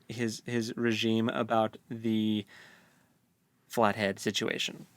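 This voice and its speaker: A man's voice: on the low side, level tone, takes it slowly, no room sound. A man co-hosting a book club, retelling and discussing a story in casual, informal language.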